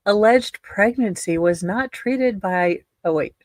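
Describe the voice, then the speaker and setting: A woman co-hosting a livestream, reading a document aloud haltingly and adding casual, sarcastic asides. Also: medium pitch, a little mellow, dry recording, studio quality. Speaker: livestream co-host.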